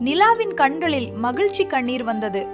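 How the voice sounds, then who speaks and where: A woman's voice: high-pitched, sing-song, moderate pace, music in the background. A woman narrator reading a scripted moral story for a cartoon.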